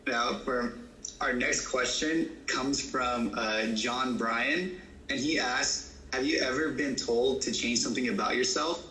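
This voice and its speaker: A man reading a student question aloud in a halting way. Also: mid-range voice, steady tone, pushed and bright. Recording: roomy, distant, faint noise.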